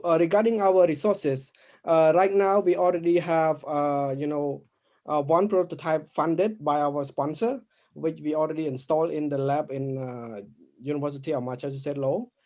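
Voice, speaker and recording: A man with a soft-edged, mellow and slightly high voice gives a project presentation. He speaks as one presenter of a team. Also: moderate pace. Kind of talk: pitch presentation.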